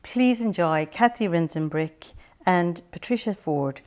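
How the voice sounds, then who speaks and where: A woman's voice: fairly low pitch, animated, unhurried, darkish tone. A woman presenting an event, reading a formal, scripted introduction. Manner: formal, read-aloud delivery.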